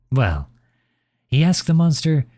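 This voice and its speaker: A man playing a gentle, caring middle-aged father in an intimate ASMR roleplay, speaking tenderly and reassuringly to his child. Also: on the high side, full character voice. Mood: warm storytelling. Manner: tender, reassuring, softly chuckling.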